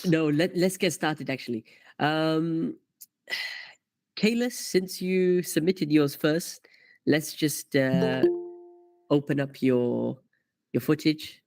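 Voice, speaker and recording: Brief: male host, raised pitch, gravelly fry, dry close sound, casual live-stream chatter. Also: slow pace, long pauses, dry recording, faint music behind. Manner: chatty, many fillers and restarts. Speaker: karting coach hosting a live session. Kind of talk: live-stream chat, reading comments.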